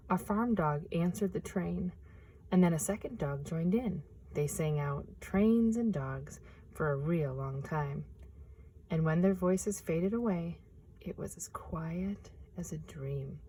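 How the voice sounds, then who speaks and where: A woman reading a picture book aloud outdoors in a forest, hushed and expressive, sometimes dropping to a whisper. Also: on the low side, unhurried, a little hiss or hum. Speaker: nature preserve storyteller.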